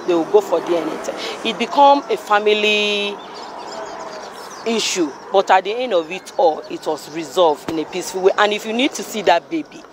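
Nigerian woman middle-aged, street interview, earnest, traffic roaring behind, handheld mic. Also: medium pitch. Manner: earnest, telling a story with stops and restarts. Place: busy city street outdoors. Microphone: handheld mic held by interviewer.

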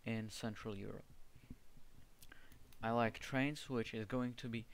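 Young Czech man, heavy accent, slow and hesitant, low voice, laid-back commentary over gameplay. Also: fairly even intonation. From Czech Republic. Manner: hesitant, restarts words, searches for terms. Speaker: gaming video creator. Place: home setup with gaming PC.

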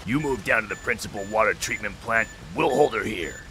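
A man's voice: on the low side, animated, brisk, noisy background, close to an everyday voice. A man playing a tough, jeering henchman in a video game. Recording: loud music behind voice.

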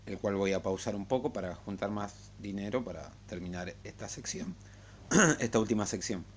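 A man giving a casual, unscripted game tutorial in a halting, rambling way. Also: fairly low pitch. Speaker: gaming tutorial host. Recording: clear background noise and hum.

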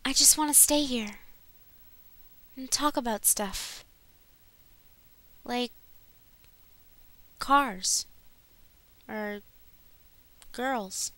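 A woman with a high, bright voice rehearses script lines slowly. She is halting and self-correcting, recorded dry. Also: dry recording. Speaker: amateur fandub voice actor.

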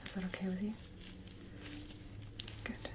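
Soft whispering woman, gentle dental hygienist roleplay. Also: a little hiss or hum, low-quality recording. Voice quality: breathy, mostly whispered. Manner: gentle, reassuring, unhurried personal attention. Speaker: ASMR performer playing a dental hygienist. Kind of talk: soft-spoken ASMR roleplay.

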